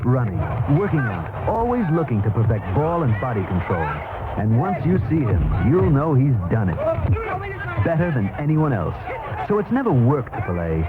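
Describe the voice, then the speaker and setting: A man's voice: medium pitch, huge pitch swings, normal speed, phone-quality sound, heavy background noise. A man narrating a scripted 1970s radio commercial as an announcer. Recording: thin old radio sound, loud music. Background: loud music.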